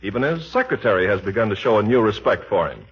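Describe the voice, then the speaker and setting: A man announcing a 1940s radio show in a polished, emphatic sponsor-pitch style. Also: sing-song, quick pace. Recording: muffled, raspy 1940s radio recording.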